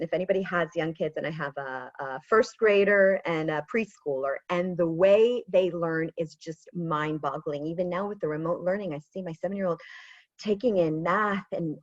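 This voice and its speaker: A woman in her thirties with an American accent, coaching a webinar over a video call, chatty and animated with self-deprecating asides. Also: medium pitch, no echo. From Israel. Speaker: pitch coach. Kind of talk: webinar presentation. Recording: dull lo-fi video call audio.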